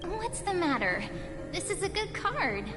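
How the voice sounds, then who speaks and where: A gentle, playful village girl talks in a high voice with affectionate teasing. It is fantasy game dialogue, reverberant and backed by clear music. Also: very animated, a little slow, full character voice. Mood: encouraging. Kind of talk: video game voice acting.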